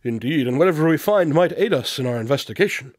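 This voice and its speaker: An eccentric, inquisitive male detective from a radio drama, played by an actor. His voice sits a little high, and he speaks in neat, formal phrases with a dry wit, as if always ready to wander off after something more interesting. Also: lively intonation, fast talker, no room sound, natural voice. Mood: eager, distracted. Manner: articulate, wry, formal phrasing. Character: eccentric, inquisitive male detective, dryly witty, easily distracted.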